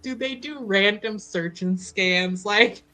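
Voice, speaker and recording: A woman's voice: medium pitch, slow, bright, forceful tone. A woman playing a tabletop roleplaying game, chatting casually and thinking aloud in a slangy, wry way.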